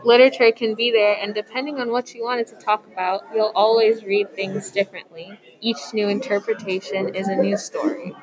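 A woman's voice: medium pitch, fairly even intonation, moderate pace, few pauses, a crowd in the background, low-quality recording. A teenage girl, a student, earnestly reading a prepared reflection aloud.

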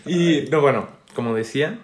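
A young man with a northern Mexican accent talks loosely with friends on a podcast, full of slang, laughs and false starts. His voice sits mid-range. The room adds a clear echo. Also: mid-range voice, normal speed, background noise. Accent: northern Mexican Spanish. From Mexico, Sinaloa. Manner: slangy, laughing, trailing restarts. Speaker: podcast co-host. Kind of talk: casual chat among friends. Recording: echoey room.

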